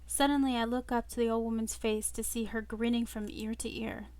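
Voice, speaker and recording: A woman reading a visual novel aloud as expressive first-person story narration. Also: a little high, a little fast. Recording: music under the voice. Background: clear background music.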